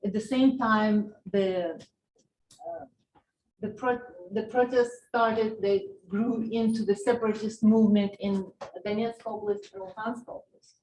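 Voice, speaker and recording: A woman's voice: mid-range voice, fairly even intonation, darkish tone. A woman with a Russian accent, a native Russian speaker, giving a university political science lecture, with frequent fillers and restarts. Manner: halting, many fillers and restarts. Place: lecture hall. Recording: roomy hall with faint noise.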